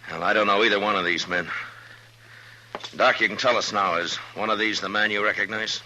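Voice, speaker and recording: An American actor playing a steady, authoritative western marshal in 1950s radio drama, speaking tersely and with command. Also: male voice, on the low side, fairly quick. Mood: brisk, businesslike. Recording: noisy old radio drama recording.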